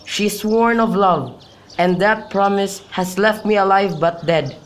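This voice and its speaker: A man's voice: sits high, unhurried. A young man playing a lovesick, brooding youth in an amateur radio drama of modern-English Shakespeare, with birdsong behind him. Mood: pining, despairing. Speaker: student actor. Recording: faint background noise with birdsong.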